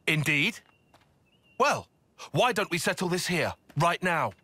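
A stern, husky enemy soldier. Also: male voice, on the high side, big pitch swings, bright, forceful tone, no echo, exaggerated voice. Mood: challenging. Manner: formal, measured wording. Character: stern, formal, guarded male enemy soldier. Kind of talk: video game voice acting.

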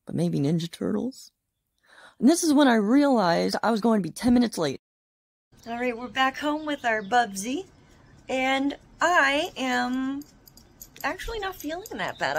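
A woman's voice: fairly high pitch, fairly bright tone, a little hiss or hum. A young woman vlogging casually at home, chatty and bubbly with a self-mocking streak. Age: young adult. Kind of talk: casual vlog chat. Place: living room at home. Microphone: phone camera at arm's length.

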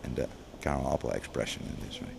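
Deep-voiced man in an echoing gallery. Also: fast, creaky. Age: middle-aged. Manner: hesitant, many restarts and fillers. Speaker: museum curator. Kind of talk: unscripted gallery interview. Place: large museum gallery indoors. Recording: long gallery reverb, faint background noise.

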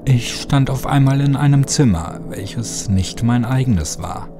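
A man's voice: mid-range voice, expressive, a little slow, over music. A man reading a scripted horror story as an audiobook narrator.

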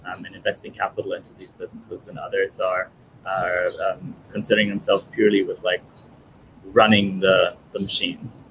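A man's voice: deep voice, fairly bright tone, slight background noise, low-quality recording. A man in his thirties, born in Italy and raised in German-speaking Europe, answering interview questions thoughtfully over a video call with many fillers. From born in Italy, grew up in German-speaking Europe. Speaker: guest. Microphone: headphones on video call.

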